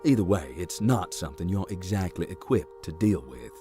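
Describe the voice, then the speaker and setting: A very low male voice plays a giant, laid-back man in a horror visual novel. His delivery is folksy and dry, with lively swings in intonation. Music plays behind him. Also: moderate pace. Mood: wry, cautious. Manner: deadpan, folksy, wry. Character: giant, easygoing, wry man exploring a haunted hospital.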